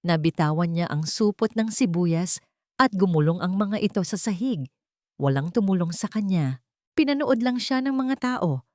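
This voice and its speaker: A woman storyteller reading a scripted love story with emotive, deliberate phrasing. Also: on the low side, darkish tone, no room sound, clean studio sound.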